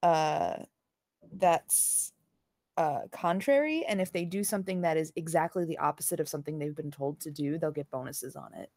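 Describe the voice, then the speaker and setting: A woman's voice: low-pitched, normal speed, a little mellow, no echo. A woman playing a tabletop game, chatting casually and a little tentatively in informal phrases. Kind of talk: casual chat during a game.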